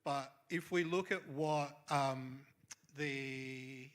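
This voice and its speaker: Debater, young man, unhurried, halting. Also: medium pitch, steady tone, a bit bright. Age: young adult. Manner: halting, searching for words with many restarts. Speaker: university debater. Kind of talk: answering an audience question.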